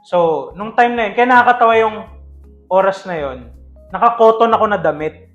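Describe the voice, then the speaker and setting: A man's voice: high voice, normal speed, a bit bright, lo-fi sound, faint music behind. A man with a Filipino accent telling stories casually as a podcast co-host, mixing in English.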